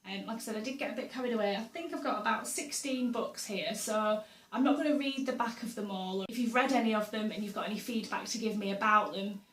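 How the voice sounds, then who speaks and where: A young woman vlogging casually about books and her week, slightly hoarse from a sore throat, with lots of ums and small laughs. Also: medium pitch, fast, a bit bright, slight background noise. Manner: chatty, with frequent ums and uhs and little laughs. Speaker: book vlogger. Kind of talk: casual chat to camera. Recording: roomy echo, voice a bit far from the mic.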